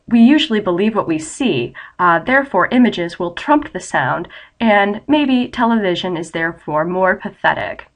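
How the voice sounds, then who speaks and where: A woman's voice: medium pitch, a bit bright. A young adult woman giving an online college English lecture while battling a head cold, sounding nasal and a bit scratchy, explaining steadily with frequent ums.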